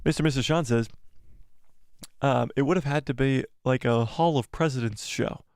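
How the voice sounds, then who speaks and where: A man of ordinary pitch talking casually on a live stream, rambling and reading out comments from viewers. His voice is getting worn and hoarse. The sound is dry and close, with no room echo. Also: normal speed, a little mellow. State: losing his voice.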